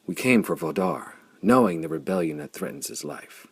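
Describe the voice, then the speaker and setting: Man voicing an urgent, husky young adventuress. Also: low voice, wildly swooping pitch, dry recording, full character voice. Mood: hurried. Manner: hurried, earnest. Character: urgent, desperate young woman adventurer from distant land.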